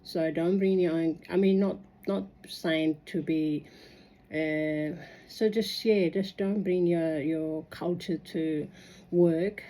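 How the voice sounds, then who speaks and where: A woman with a fairly low, soft-edged mellow voice speaks English as a second language. She talks casually and reflectively about her own experience, earnest and halting, often circling back as she rambles. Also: steady tone, poor audio. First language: non-native English speaker. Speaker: migrant sharing personal experience.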